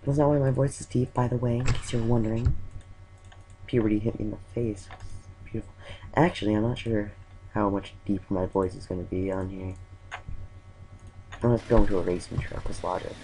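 Low gravelly young gamer rambling. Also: male voice, fairly even intonation, a little fast, long pauses, mellow, a little hiss or hum, low-quality recording. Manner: rambling, exclaiming at crashes, self-mocking asides. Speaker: gameplay commentator. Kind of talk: casual let's-play commentary.

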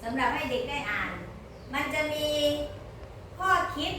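A woman's voice: sits high, lively intonation, moderate pace. A woman teaching a primary school class, asking the pupils coaxing questions as she goes. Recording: echoey classroom, distant mic, background noise.

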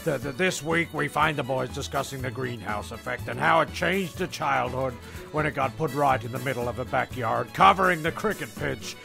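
A man's voice: mid-range voice, normal speed, vocal fry, music in the background. A man narrating a scripted comedy in a mock-grand, rambling way. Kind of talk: comic scripted storytelling with sung shanty.

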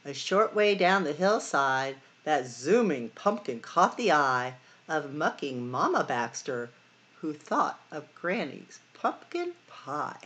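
Female storytime host, middle-aged. Unhurried, very lively intonation, dramatic sing-song delivery for young listeners. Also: low voice. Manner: sing-song, dramatic emphasis and drawn-out words. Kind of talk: expressive picture-book read-aloud for children.

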